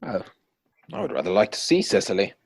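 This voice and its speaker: A man acting a witty, idle young Victorian gentleman in a stage comedy reading, arch and teasing, recorded at home over a video call. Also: low-pitched, sing-song, brisk, slightly rough, full character voice. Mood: amused. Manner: arch, teasing, mannered phrasing.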